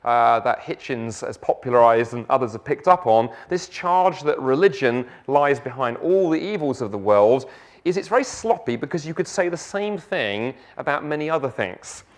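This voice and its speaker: A middle-aged man with a South London accent gives an evening church talk, lively, with joking asides and rhetorical questions. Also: medium pitch, normal speed. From England. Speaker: guest speaker.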